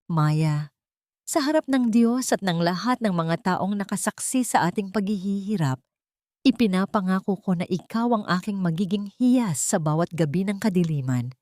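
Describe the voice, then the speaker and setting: A man's voice: high-pitched, expressive, normal speed, a little mellow, dry recording, studio quality. A woman storyteller voicing an earnest, devoted groom in a solemn, tender manner. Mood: solemn devotion. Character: earnest, devoted groom vowing love to his bride.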